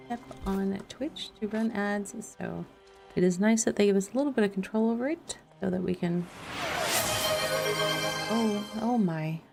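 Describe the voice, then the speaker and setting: Unhurried woman streamer with a creaky voice. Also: mid-range voice, dark tone. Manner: playful, self-deprecating. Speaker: game streamer and host. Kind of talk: casual livestream chat and gameplay commentary. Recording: music playing behind the voice. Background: background music.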